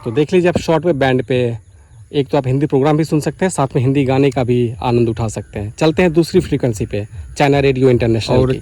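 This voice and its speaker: Mellow-voiced man presenting a tutorial vlog. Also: mid-range voice. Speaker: vlogger presenting a radio tutorial. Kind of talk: explanatory vlog commentary while demonstrating. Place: outdoors beside farm fields.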